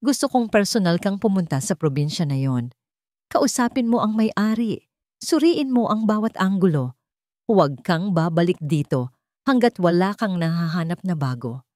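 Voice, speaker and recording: A woman with a Filipino accent voicing a calm, authoritative wealthy businessman in a narrated story. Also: lowish voice, moderate pace, dry recording, clean studio sound, natural voice. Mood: calm, commanding. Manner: controlled, authoritative. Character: calm, commanding wealthy businessman hiding suspicion of his employee.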